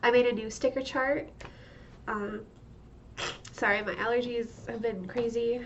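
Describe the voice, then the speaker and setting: A woman's voice: a little high, fairly even intonation, a little slow, recorded from a distance, dull audio, a little hiss or hum. Congested young woman chatting rambly. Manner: rambling, many fillers, laughs often. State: stuffed up, congested. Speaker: vlogger. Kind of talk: casual monthly check-in vlog. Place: home room.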